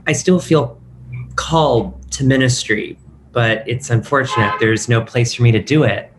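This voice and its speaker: A man's voice: medium pitch, a little slow, close to an everyday voice. An actor with a male-sounding voice playing a frank, chatty transgender woman who used to be a pastor, in a script table read. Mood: wistful, frank. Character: transgender woman, former Anglican pastor, warm, frank, self-assured. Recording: audible background noise.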